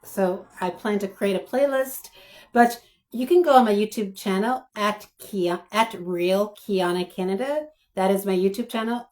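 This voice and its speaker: A woman with a hoarse, worn voice talks to her viewers at a very slow, unhurried pace. She pauses often and restarts her sentences as she thinks aloud. Her pitch sits in an ordinary middle range. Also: a little mellow. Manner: addresses viewers directly. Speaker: commentary channel host. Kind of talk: spoken video commentary.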